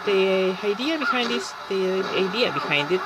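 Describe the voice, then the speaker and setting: A man from Chile with a Chilean Spanish accent, a Spanish speaker talking casually in English over gameplay and often pausing to search for words. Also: high-pitched, animated. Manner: halting, searching for words with many fillers. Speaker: gaming channel host. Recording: loud background noise.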